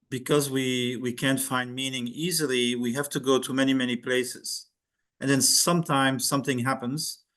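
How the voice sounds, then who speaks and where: An older man from Belgium, a guest speaker giving a reflective talk over a video call, hesitant with many fillers and restarts. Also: mid-range voice. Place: home in Thailand, video call.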